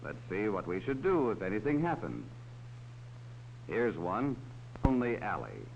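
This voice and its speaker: A man's voice: on the low side, very animated, a little slow, vocal fry, a little mellow. A man narrating a 1940s educational film, talking kindly to children as a policeman would. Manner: talks down to children. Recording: dull old film soundtrack with faint hiss.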